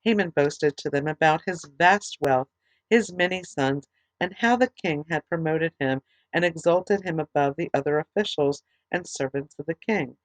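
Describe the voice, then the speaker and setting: A middle-aged woman teaching a Bible study, reading scripture aloud in a plain, steady way, her voice tired and fading late at night. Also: low voice, dry recording, rough recording. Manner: plain, steady reading with small halting restarts.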